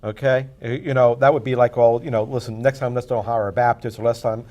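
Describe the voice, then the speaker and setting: A man's voice: medium pitch, steady tone, quick pace, darkish tone. A man on a TV panel giving blunt, opinionated takes on the news. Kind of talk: casual panel discussion.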